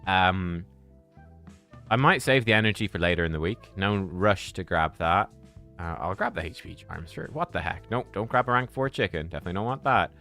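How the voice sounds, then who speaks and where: Relaxed low-voiced game streamer. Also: male voice, brisk, creaky, faint music behind. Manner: relaxed, chatty, thinking aloud with fillers. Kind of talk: casual live-stream chat.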